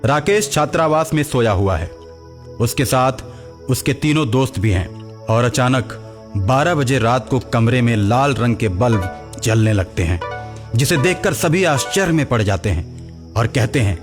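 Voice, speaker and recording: Man for scripted story narration, medium pitch, with music playing behind. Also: animated, measured pace.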